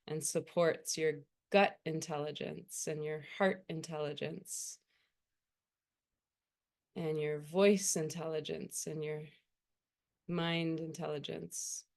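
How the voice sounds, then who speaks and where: A woman meditation teacher speaks in a low, smooth, resonant voice. Her delivery is very slow and gentle, leaving unhurried pauses between phrases as she soothingly guides the listener.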